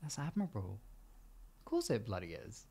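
A man playing a protective, affectionate boyfriend in an improvised ASMR roleplay, speaking in a husky, soft, reassuring and intimate way. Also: fairly low pitch, sing-song, normal speed, exaggerated voice. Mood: tender, reassuring. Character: protective, affectionate boyfriend comforting his insecure partner.

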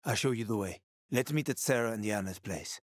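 An actor playing a wry, weary middle-aged male survivor in a video game, slangy and sardonic, with a gravelly, husky voice. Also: on the low side, brisk, no echo, studio quality, close to an everyday voice. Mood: urgent, wry.

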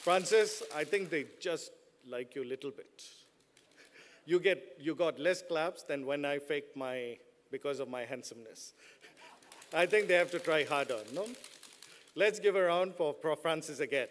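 A man's voice: fairly high pitch, moderate pace, a little hiss or hum. A middle-aged man speaks into podium microphones, addressing graduates with a slightly raised voice. He drops playful jokes at the crowd between points. The sound trails off in the long reverb of a large hall. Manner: joking, playful asides to the audience. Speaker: business school dean giving a commencement speech. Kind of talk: commencement address.

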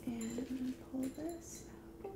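A woman in her twenties doing a soft-spoken ASMR roleplay to the listener, gentle and hushed, drifting into whispers. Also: sits high, very slow, background noise. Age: 20s. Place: dim indoor room at a table.

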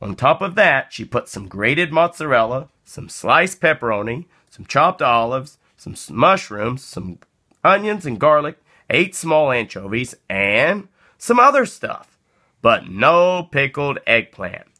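A man reading a children's story aloud as narrator, with expressive, deliberate phrasing. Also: mid-range voice. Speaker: storybook reader.

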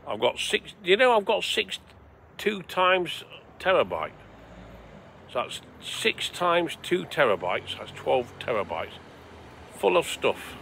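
A man from England chats to his viewers in a loose, rambling, sweary way. His voice is gravelly with a bright, forceful edge. He streams outdoors at night by the seaside. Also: fairly high pitch, very animated, slow pace, a little hiss or hum. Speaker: vlogger. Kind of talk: casual rambling livestream chat.

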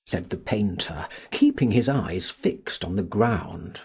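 A man's voice: sits low, expressive, slow, gravelly, a little mellow, slightly rough. A man narrating a novel as a scripted audiobook.

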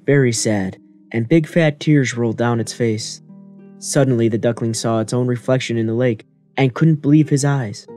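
A man's voice: mid-range voice, mellow. A man reading scripted narration for a children's fairy tale. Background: music.

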